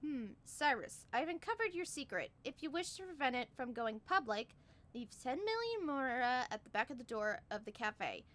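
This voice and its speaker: A woman's voice: high voice, big pitch swings, normal speed, fairly bright tone, a little hiss or hum. A woman streaming a game live, chatting casually, reacting and reading the dialogue along aloud.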